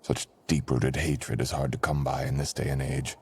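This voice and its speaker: A man playing a dangerous, vengeful ancient sorcerer in an audio roleplay, speaking in a husky, breathy voice with dramatic, threatening pauses. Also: extremely low pitch, level tone, exaggerated voice. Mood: menacing, suspicious. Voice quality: husky, breathy, often dropping to a whisper. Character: dangerous ancient male sorcerer, menacing, vengeful, freed from long imprisonment. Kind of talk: boyfriend ASMR audio roleplay.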